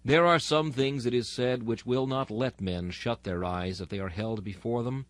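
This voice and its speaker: A man's voice: medium pitch, normal speed, no echo. Solemn man delivering a dry, close sermon. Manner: solemn, exhorting, oratorical. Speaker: narrator reading a historical sermon. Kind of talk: dramatic sermon reading.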